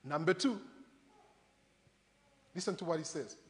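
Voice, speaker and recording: A man delivers a sermon in a notably high voice. He talks conversationally to his listeners, posing questions and retelling scripture as stories. Long reverb from a large hall trails behind his words. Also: lively intonation, a little slow. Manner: conversational, rhetorical questions, addresses congregation directly. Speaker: preacher. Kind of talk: animated sermon with acted-out Bible stories.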